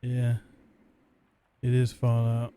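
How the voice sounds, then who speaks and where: A man's voice: mid-range voice, completely flat delivery, slow pace, warm tone, smooth. A man giving casual, laid-back gameplay commentary, musing to himself as he plays. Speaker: let's play gamer commentating.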